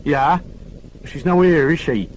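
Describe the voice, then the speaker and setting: A woman's voice: low voice, takes it slowly, a bit bright, slight background noise, voice pushed up, poor audio. A man reading an audiobook, voicing a blunt, hostile local woman answering the phone. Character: rude suspicious local young woman on phone.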